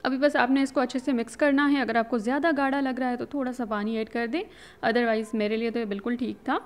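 A woman talks viewers through a home recipe in a chatty, instructive voice-over, often slipping English words into her speech. Her voice sits a little on the higher side. She sounds like a relaxed host of her own cooking channel. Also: fast talker.

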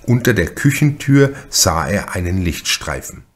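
Guy reading a crime audiobook, really deep and slow, careful measured delivery, bit of background noise. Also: big pitch swings, breathy edge. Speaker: audiobook narrator. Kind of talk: scripted literary narration of a crime novel.

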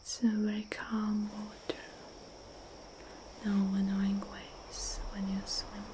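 Young woman whispering asmr real slow and flat, soft hums, hiss of ambience behind. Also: mid-range voice. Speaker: ASMR host. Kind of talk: whispered ASMR guided visualization.

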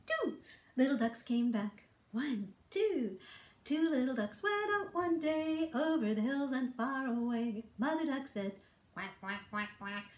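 A middle-aged woman speaks to small children in a high, very animated voice. She hosts storytime with playful, singsong encouragement, taking her time over the words. The room adds some echo. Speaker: children's storytime librarian host. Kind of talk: read-aloud, songs and rhymes for toddlers. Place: small book-lined office. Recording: roomy indoor echo.

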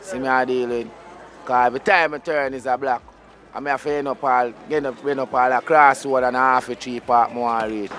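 A man with a Jamaican Patois accent speaking in a lively, sing-song way. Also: mid-range voice, a little fast, a little hiss or hum.

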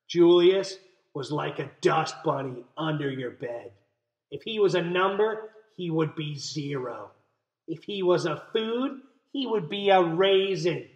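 A man's voice: on the high side, highs cut off. A man voicing a jealous, scornful little girl mouse from a picture book, theatrical and exaggerated. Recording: roomy, echoey room sound.

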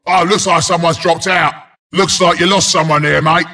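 An actor voicing a scrappy, cocky porcupine brawler as a video game announcer, with a London Cockney accent, a gravelly rasp and cheeky, blunt banter. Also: male voice, fairly high pitch, fairly even intonation, normal speed, sharp tone. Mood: brash announcing. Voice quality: gravelly, raspy.

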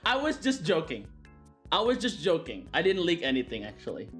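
A young man with an Indonesian accent, streaming from his bedroom, chatting excitedly with a friend and his viewers and laughing often. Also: on the high side, a little fast, faint music behind. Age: young adult. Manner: excitable, laughs a lot, shouts out to chat. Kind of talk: casual livestream chat. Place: small bedroom at home. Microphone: studio mic on a stand with headphones.

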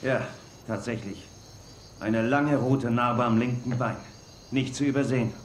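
A man's voice: medium pitch, a little slow, close to an everyday voice. An actor playing a practical, observant and level-headed Western lawman in an audio drama. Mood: matter-of-fact. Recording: roomy, with faint noise.

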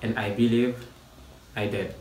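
Young guy w senegalese accent, lowish voice, acceptance speech, noisy echoey recording. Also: steady tone, measured pace, darkish tone, far from the mic. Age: young adult. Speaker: actor accepting an award. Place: small plain room, video message. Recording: echoey room with clear background noise.

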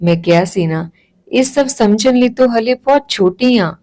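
A woman's voice: on the high side, expressive, measured pace, dark tone, voice pushed up. A woman voicing a loving, emotional mother who speaks tenderly and earnestly to her daughter in a family story. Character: tearful, loving Punjabi mother explaining herself to daughter.

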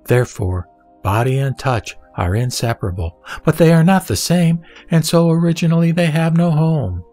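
A man reading a Buddhist sutra as a scripted narration, in a measured, formal, didactic manner. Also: on the high side, darkish tone. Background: background music.

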